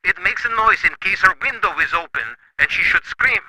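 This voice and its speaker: A man playing a cold male criminal who gives businesslike murder orders in a flat, commanding manner. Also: high-pitched, a little fast, very noisy.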